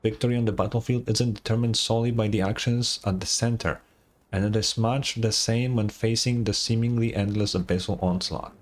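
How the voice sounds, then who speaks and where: A man's voice: fairly low pitch, steady tone, a little fast. A man livestreaming a game, commenting casually in a laid-back, slangy way and reading game text aloud.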